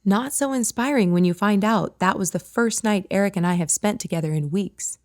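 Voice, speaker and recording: A playful, goading woman friend who loves to needle her, read by a woman narrator. Her lines come out louder and a touch higher than the narration. An audiobook dialogue voice. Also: normal speed, dry recording, clean studio sound, natural voice. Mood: teasing. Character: teasing, sly woman friend.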